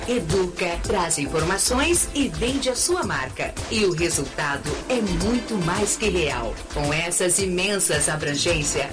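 A woman with a Brazilian Portuguese accent reading a scripted radio promotional spot as an announcer. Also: mid-range voice. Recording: produced spot over music bed. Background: music.

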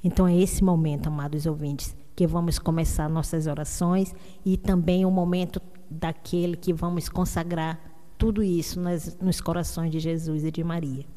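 A woman's voice: deep voice, flat delivery, warm tone. Solemn woman leading radio prayers. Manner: slow, solemn, intoning. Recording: long reverb.

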